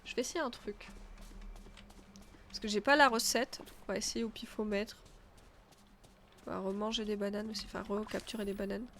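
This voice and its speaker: A woman's voice: mid-range voice, fairly even intonation, moderate pace, long pauses, a bit bright, slight background noise. A woman with a stuffy nose chatting casually as she streams a game, thinking aloud and answering her chat. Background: faint game music.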